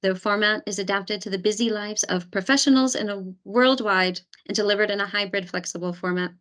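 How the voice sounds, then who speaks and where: A woman's voice: medium pitch, brisk, a bit bright. A woman hosting an online webinar as program advisor, talking with many fillers and restarts over a video call. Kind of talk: webinar presentation.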